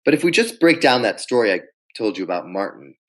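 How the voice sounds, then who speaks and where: A man being interviewed as a pitch coach, telling anecdotes and acting out quoted dialogue. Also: sing-song, quick pace. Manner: storytelling, acts out quoted dialogue.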